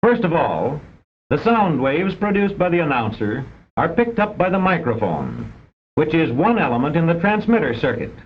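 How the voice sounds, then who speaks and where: A man with an American accent delivers formal scripted narration for a vintage instructional film, measured and didactic with precise enunciation. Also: a little high, huge pitch swings, a little hiss or hum. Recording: dull, band-limited old film soundtrack.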